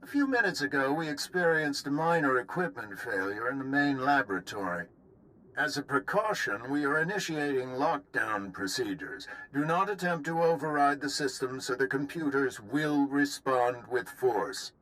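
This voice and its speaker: A man's voice: mid-range voice, expressive, moderate pace, fairly bright tone, close to an everyday voice, low-quality recording. An actor playing an officious male company director reading formal, bureaucratic staff announcements in a game. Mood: controlled urgency. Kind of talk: scripted public announcement.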